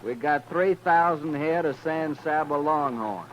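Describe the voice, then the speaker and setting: Young trail rider, male actor: surly and curt, lightish pitch, hissy old radio drama. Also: unhurried, natural voice. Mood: surly, impatient. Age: young adult. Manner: curt, gruff. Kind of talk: 1950s radio western acting. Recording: noisy old radio recording.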